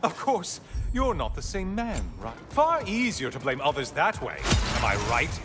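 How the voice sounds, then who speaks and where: Theatrical, sneering villain, wildly swooping pitch. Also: male voice, wildly swooping pitch, normal speed. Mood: mocking. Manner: sarcastic, theatrical, taunting. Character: bitter mocking male severed-head tormentor, theatrically wounded and gleeful. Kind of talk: dramatic video game acting. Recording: loud music behind the voice.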